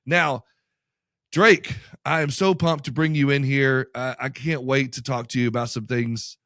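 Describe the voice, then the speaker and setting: An enthusiastic male host rambles warmly through ad reads and questions. His mid-range voice comes through dry and close, with no room sound. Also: medium pitch, fairly even intonation, measured pace, no echo. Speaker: podcast host. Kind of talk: podcast interview and ad reads.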